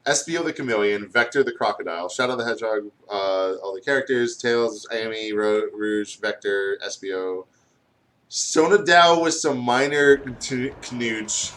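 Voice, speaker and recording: A man's voice: fairly low pitch, measured pace, fairly bright tone. A man in casual, giggly chat, reading aloud over a video game. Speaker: guest co-host reading fan fiction.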